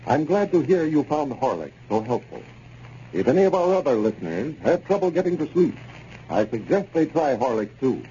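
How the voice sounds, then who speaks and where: An American man announcing a 1930s radio program in a formal, measured, scripted style. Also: a little high, sing-song, dark tone. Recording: muffled, hissy 1930s radio recording.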